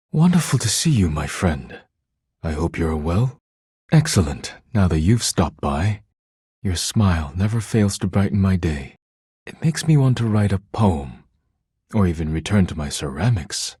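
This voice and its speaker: An actor playing a proud, formal male artist in a video game, with a husky voice and deliberate, theatrical phrasing. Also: sits low, darkish tone, no room sound, clean studio sound, exaggerated voice. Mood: warm, friendly. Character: proud, formal, easily wounded male artist, devoted when befriended.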